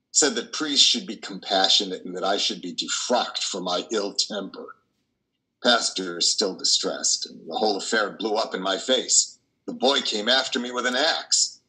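An older man playing a troubled, tender priest who confesses his doubts haltingly and fervently in a play reading over a video call. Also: lowish voice, bright, forceful tone, poor audio. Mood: agitated, aggrieved. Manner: halting, fervent, with repeated words. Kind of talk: dramatic monologue in a play reading. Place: wood-panelled room at home, indoors. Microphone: video call, computer microphone.

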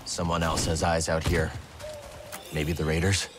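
A man voicing a friendly, calm and watchful adventurer in a video game. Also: low-pitched, unhurried, loud music behind the voice. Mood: musing.

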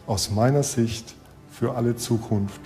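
A man's voice: medium pitch, unhurried, music in the background. A man speaking as an expert, explaining things in a documentary interview.

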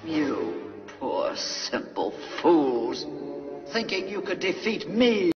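A woman playing a scornful, gloating sea witch in an animated film, mocking and drawing out the words. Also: low-pitched, over music, full character voice. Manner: mocking, drawn-out. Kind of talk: animated film villain acting. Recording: muffled, distant, cavernous reverb film clip.

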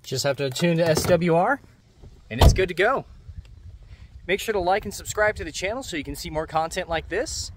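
A man's voice: high voice, expressive, normal speed. A man hosting a do-it-yourself video, explaining an install step by step in a plainspoken, easygoing way. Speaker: host of a DIY vehicle channel. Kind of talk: how-to narration of an install. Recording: noisy, vehicle ambience behind.

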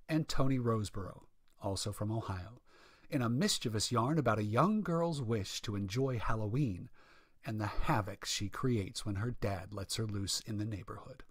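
A man's voice: medium pitch, dry recording, studio quality. A man giving a scripted show introduction as the announcer.

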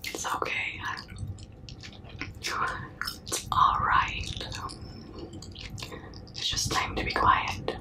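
A young woman whispers in a breathy, unvoiced ASMR style. She speaks extremely slowly and soothingly, repeating herself in a comforting way. The mic is very close. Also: distant mic, rough recording. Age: young adult. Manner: slow, hushing, soothing, repetitive. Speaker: ASMR performer. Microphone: close mic, very near the camera.

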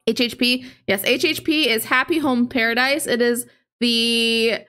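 Casting: female game streamer, late twenties, higher-pitched voice, informal and chatty, close mic, faint music behind. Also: fairly even intonation, takes it slowly, bright, forceful tone, clean tone. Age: late 20s. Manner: chatty, talking to viewers and reading chat aloud. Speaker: video game streamer, host. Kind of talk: casual livestream chat. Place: home living area, indoors. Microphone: large desk microphone up close, headset on.

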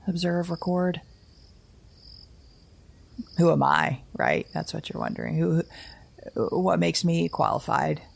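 Creaky-voiced, anxious woman survivor. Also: deep voice, a little mellow, exaggerated voice. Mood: wistful, doubting. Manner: halting, rambling, self-correcting, wry. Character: anxious, wry, weary woman survivor keeping a tape diary. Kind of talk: naturalistic audio drama monologue.

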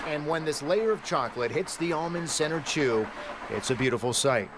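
A man with an American accent narrating a scripted TV news feature in a polished, measured broadcast delivery. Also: fairly high pitch, expressive, very noisy. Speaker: TV news reporter.

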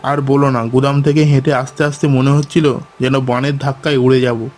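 A man voicing a gentle, worried poor village father in a cartoon. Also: medium pitch, little pitch movement, a little fast, slight background noise, close to an everyday voice. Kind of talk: cartoon voice acting.

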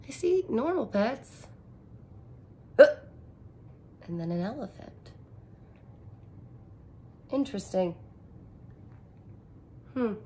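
Slow-paced woman reading to young children. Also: mid-range voice, a little hiss or hum. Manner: expressive, simple explanatory phrasing for children. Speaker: elementary teacher reading aloud. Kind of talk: picture book read-aloud with commentary for young children. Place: small furnished room indoors.

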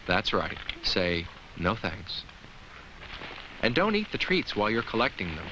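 An actor playing a kindly, earnest talking jack-o'-lantern who narrates a children's safety film with simple, reassuring explanations. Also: male voice, on the low side, very animated, a little slow, heavy background noise. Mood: friendly.

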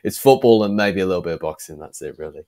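Very low-voiced man co-hosting a video-call podcast. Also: fast talker. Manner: relaxed, thinking aloud with many ums. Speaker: podcast co-host. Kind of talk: casual fan podcast chat. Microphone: video call with earphones.

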